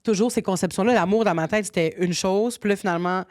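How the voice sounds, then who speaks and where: A woman hosting a podcast, asking questions in a casual, encouraging way and quickly agreeing. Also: medium pitch, darkish tone, no echo, studio quality. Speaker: podcast host.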